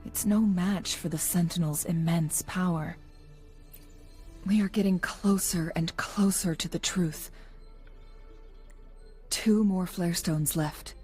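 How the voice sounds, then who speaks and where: A woman voicing a composed, analytical young female guide in a video game, speaking in a measured, serious, explanatory way. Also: on the low side, darkish tone, breathy edge, soft background music. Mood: grave, explanatory. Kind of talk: scripted video game dialogue.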